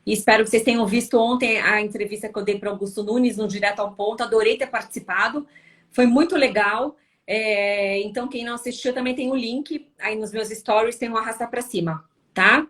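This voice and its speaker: A woman's voice: on the high side, a little fast, fairly bright tone. A tired woman from Brazil hosting a casual live stream, answering viewers with lots of tag questions. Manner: chatty, frequent tag questions.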